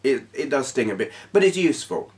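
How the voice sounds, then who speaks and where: A man's voice: medium pitch, very animated, fairly quick, a little mellow, far from the mic. A middle-aged man with a stuffy nose from a cold, frankly chatting to camera as a channel host. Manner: frank and blunt, with restarts and short laughs. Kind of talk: casual talking-head vlog.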